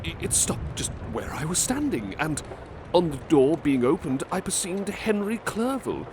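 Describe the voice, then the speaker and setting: A man giving a measured, gentle ASMR story reading, playing a butler reading aloud, over loud street ambience. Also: fairly high pitch, sing-song, background noise.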